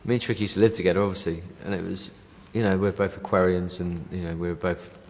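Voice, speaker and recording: A man's voice: low voice, fairly even intonation, fast talker, big-room sound. A man chatting casually in an interview, rambling with lots of fillers and the odd "mate". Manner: rambling, many fillers, says "you know" and "mate". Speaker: band member interviewed.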